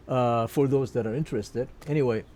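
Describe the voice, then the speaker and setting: A man, recalling his life in a casual interview, halting with many fillers and restarts. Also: medium pitch, dark tone. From Turkey. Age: 70s. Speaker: interviewee, musician.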